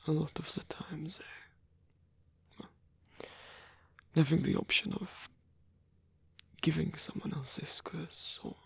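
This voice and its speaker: Casting: male actor as ancient vampire, husky close whisper, wistful and flirtatious, halting delivery, intimate ASMR. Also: fairly high pitch, a bit bright, no room sound, exaggerated voice. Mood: wistful, teasing. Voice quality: breathy, whispery. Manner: halting, murmured, pensive.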